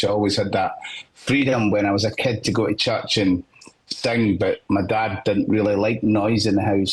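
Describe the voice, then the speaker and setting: A man's voice: on the low side, husky, poor audio. A Scottish man, a singer-songwriter guest on a podcast, telling his story in an earnest, reflective way. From Scotland. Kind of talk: podcast interview.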